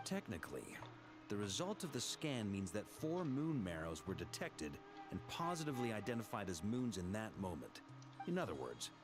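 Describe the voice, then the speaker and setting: An actor playing a precise, steadfast male ally in a video game, measured and analytical. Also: on the low side, huge pitch swings, music in the background.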